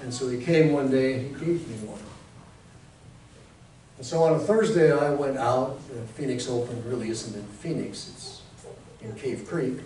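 An American man in his sixties preaching a sermon as a pastor, telling it conversationally in short, deliberate phrases. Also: mid-range voice, mellow. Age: 60s. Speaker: Lutheran pastor. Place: church sanctuary in front of a congregation. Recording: very echoey church hall, distant mic, faint noise.

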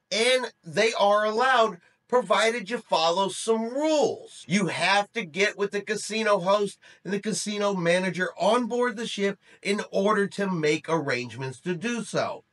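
A man's voice: sits high, a bit bright. A middle-aged man presenting a news update to camera in a conversational, emphatic way, as the host of an online channel. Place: small room indoors.

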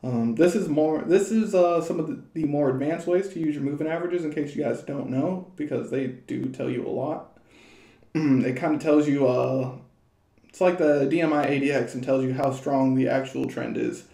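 A young man giving casual, rambling crypto chart commentary from a small room at home, his voice a little hoarse, with plenty of fillers and restarts. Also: fairly high pitch, moderate pace, dark tone, reverberant. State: slightly hoarse.

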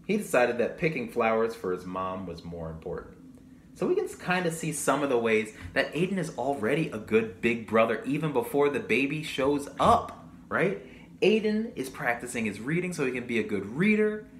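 A man's voice: mid-range voice, very animated, moderate pace, fairly bright tone. A young man reading a picture book to children, expressively, with chatty asides to his young listeners. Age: young adult. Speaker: children's storybook reader. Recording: roomy echo, audible background noise.

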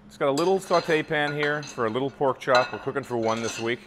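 A man's voice: medium pitch, fairly quick, big-room sound, noisy background. A middle-aged man hosting a cooking show, chatting enthusiastically as he demonstrates a simple dish in a large kitchen. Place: large home-style kitchen.

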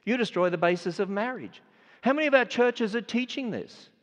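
An older man with an Australian accent preaching a sermon, stressing words and swinging between loud and quiet. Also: sits high, animated, a little fast. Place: large auditorium. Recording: dull sound with long hall reverb.

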